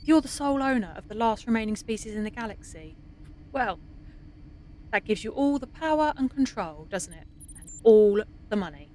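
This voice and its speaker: A woman plays a weary female scientist in a science fiction audio drama. Her mid-pitched voice is dry and understated, cynical with an idealist underneath. Faint background noise runs behind it. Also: expressive, moderate pace, dark tone, natural voice.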